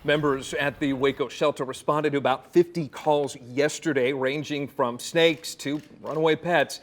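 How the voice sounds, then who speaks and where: A man's voice: fairly high pitch, steady tone, normal speed, slight background noise. American male TV news anchor. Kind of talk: anchor banter and news reading.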